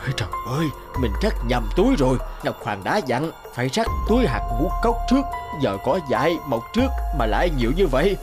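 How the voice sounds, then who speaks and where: A man's voice: medium pitch, big pitch swings, brisk, hardly any pauses, close to an everyday voice. Man voicing a flustered, bumbling old heavenly servant. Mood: panicked, fretting. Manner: hesitant, fretful, apologetic. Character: bumbling, flustered old male sower god, meek servant. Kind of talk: animated fairy-tale dubbing. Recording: music behind the voice.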